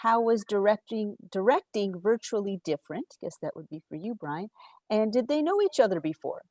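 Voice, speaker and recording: A woman's voice: medium pitch, lively intonation, normal speed, a little mellow, dry recording. A woman organizer reading audience questions aloud over a video call. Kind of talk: casual panel talk on a video call.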